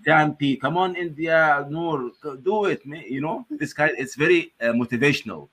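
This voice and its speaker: A man's voice: fairly high pitch, moderate pace, fairly bright tone, husky. A man chairing an academic conference session on a video call, chatting casually with many fillers and restarts.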